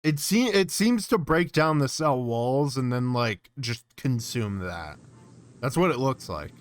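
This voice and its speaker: A man with a clear, smooth voice at a middling pitch, talking casually as a streamer. He muses aloud, drifting between reading text and giving his own commentary. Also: expressive, unhurried. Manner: musing, thinking aloud. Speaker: streamer reacting to an analog horror series.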